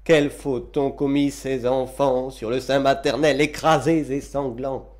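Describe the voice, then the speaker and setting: A man giving a dramatic recitation of classical verse, with solemn, declamatory and deliberate phrasing. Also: medium pitch, fairly even intonation, a little mellow. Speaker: reader of poetry.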